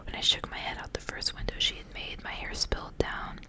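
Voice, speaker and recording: A woman reads a literary fairy tale as ASMR in a soft, hushed whisper, deliberately, phrase by phrase. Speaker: ASMR reader, storyteller.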